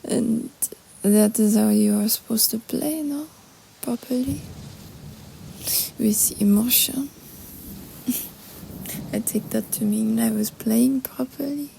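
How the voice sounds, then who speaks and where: Girl voicing a soft french roommate hiding sadness, french accent, breathy, creaky, audio roleplay with some background noise. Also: a little high, takes it slowly, long pauses, full character voice, poor audio. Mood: wistful. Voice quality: breathy, half-whispered. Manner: hesitant, gentle. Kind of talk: intimate roleplay audio drama.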